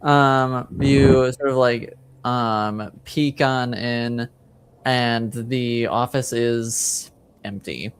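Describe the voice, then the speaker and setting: A man working as game master, narrating a tabletop game in a casual, halting, conversational way. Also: medium pitch, steady tone, clear, resonant tone.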